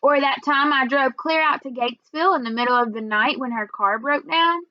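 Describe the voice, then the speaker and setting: Lady reading as a tired worried working woman in a storybook, folksy talk, high voice, kinda muffled recording. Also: brisk, close to an everyday voice. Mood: upset, bitter. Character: Carmela, upset, worn-out working woman, plain-spoken and worried. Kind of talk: storybook read-aloud dialogue.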